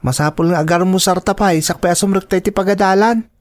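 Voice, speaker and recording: A man's voice: high-pitched, fairly even intonation, fast talker, dry recording, clean studio sound, lightly acted. A man playing a responsible schoolboy, a patient older brother instructing his younger sister in a radio drama.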